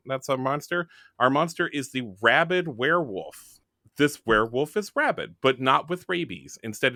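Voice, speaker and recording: A man's voice: medium pitch, bright, forceful tone, no room sound, studio quality. A man casually explaining and joking his way through a tabletop roleplaying game as its facilitator.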